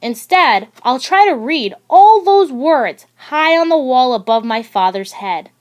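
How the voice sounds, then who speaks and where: A woman narrating a children's picture book aloud in a deliberate, expressive storytelling manner. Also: high-pitched, muffled recording. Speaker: audiobook reader.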